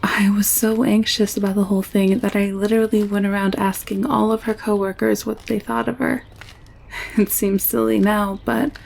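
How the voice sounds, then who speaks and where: A woman acting a friendly, candid alien woman in an audio roleplay drama, chatting in a caring, gently teasing way. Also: mid-range voice, little pitch movement, normal speed, vocal fry, slight background noise, close to an everyday voice. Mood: reflective.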